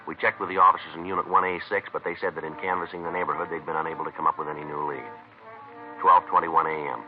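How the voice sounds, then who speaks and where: A man acting as a stoic American police detective in an old radio crime drama, with a very deep voice and terse, matter-of-fact delivery. He moves briskly through the facts, heard through a muffled, noisy vintage recording. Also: flat delivery, soft background music, lightly acted. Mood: flat, factual. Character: stoic, clipped male police detective who narrates the case. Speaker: narrator and lead detective.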